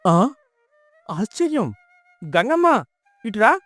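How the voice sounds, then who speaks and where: A man voicing a poor, pious villager in a folk tale, earnest, humble and expressive. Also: high-pitched, slow, dry recording, faint music behind, full character voice. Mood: amazed, reverent. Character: poor, pious, honest village husband, humble and wonder-struck. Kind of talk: dramatised folk tale storytelling.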